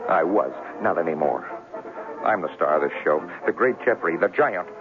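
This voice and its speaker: A man's voice: sits low, expressive, moderate pace, music in the background. An actor playing a cocky, hot-tempered and boastful leading man in a radio drama, with a brash, punchy delivery. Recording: tinny old radio broadcast.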